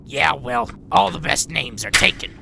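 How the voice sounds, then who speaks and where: A man playing a grumpy, sardonic veteran robot medic in an audio drama, with a gravelly voice and a dry, blunt manner. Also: on the high side, expressive, measured pace, background noise, heavily acted. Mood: deadpan. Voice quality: gravelly, creaky.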